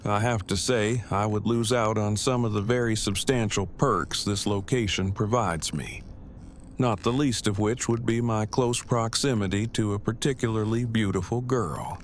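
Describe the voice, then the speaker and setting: A man playing an awkward, earnest, bookish survivor in an audio drama, speaking in formal, precise, wordy sentences with a deadpan delivery. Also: on the low side, moderate pace, a little hiss or hum, natural voice. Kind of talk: fan audio drama acting.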